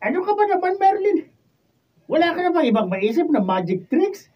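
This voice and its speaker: An actress playing a fretful, scolding boss of a failing show in a Filipino radio drama. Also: female voice, very high voice, very animated, normal speed. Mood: scolding, worried. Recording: distant, dull lo-fi studio recording.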